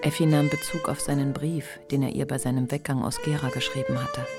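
Female narrator for audio drama: low register, literary prose reading over a musical bed. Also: level tone, a little fast, over loud music. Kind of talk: scripted literary narration for a radio play.

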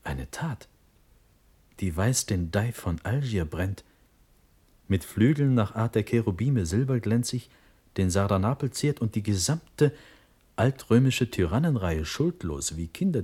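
Impassioned husky young prince. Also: male voice, on the low side, animated, unhurried, warm tone. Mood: incredulous, brooding. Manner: impassioned declamation swinging from whispers to outcries. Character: young Prussian prince and cavalry general, impetuous, anguished, condemned. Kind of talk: classical verse radio drama acting.

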